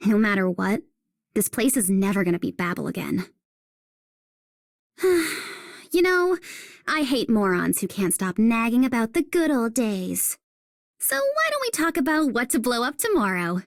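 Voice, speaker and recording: A woman's voice: on the high side, big pitch swings, measured pace, creaky, no room sound, studio quality, heavily acted. A woman voicing a brash, irreverent young woman in a video game. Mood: brash, irritated. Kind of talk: video game character voice line.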